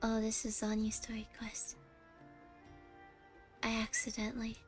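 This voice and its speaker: A woman's voice: fairly high pitch, completely flat delivery, measured pace, faint music behind. A woman livestreaming a game with casual chat, gushing and giggly as she reacts aloud. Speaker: streamer.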